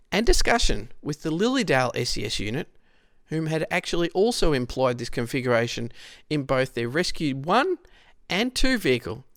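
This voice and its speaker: Aussie guy reading out a history script, bit of fry in his voice, dry sound, explains stuff carefully. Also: fairly high pitch, fairly bright tone, no echo. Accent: Australian. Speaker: narrator.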